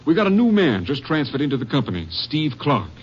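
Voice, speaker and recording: Old radio ranger captain, animated delivery, authoritative and folksy, noisy muffled recording. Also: male voice, a little high, fairly quick. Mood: businesslike. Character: brisk, authoritative male Texas Ranger captain briefing his man. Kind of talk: 1950s radio drama acting.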